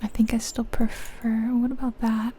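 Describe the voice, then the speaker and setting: A woman's voice: a little high, flat delivery, moderate pace, dark tone, a little hiss or hum. A woman whispering in an ASMR style, musing to herself as she gives commentary on a video game. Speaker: ASMR creator.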